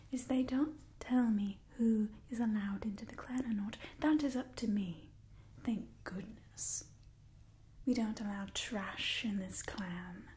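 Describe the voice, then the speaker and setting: A young woman performs an old, cold vampire matriarch in an ASMR roleplay. Her whisper is highish, mellow and breathy, delivered slowly and deliberately. She sounds haughty, theatrical and disdainful, a bitter clan leader weary of humans. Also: a little hiss or hum. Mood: resentful, haughty. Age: young adult.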